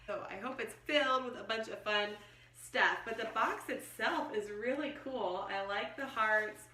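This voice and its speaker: Bright-voiced woman, echoey room. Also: medium pitch. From Texas. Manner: enthusiastic, emphatic. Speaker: homeschool vlogger reviewing a subscription box. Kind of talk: casual chatty product review to camera. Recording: echoey, roomy sound.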